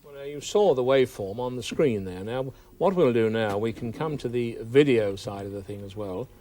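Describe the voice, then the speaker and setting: A man giving a measured technical explanation as an interviewed audio expert. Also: medium pitch, animated, loud music behind the voice.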